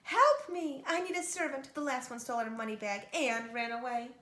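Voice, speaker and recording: A woman's voice: sits high, normal speed, a bit bright, roomy sound. A woman voicing a bossy, scolding giantess in a storybook tale. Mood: desperate.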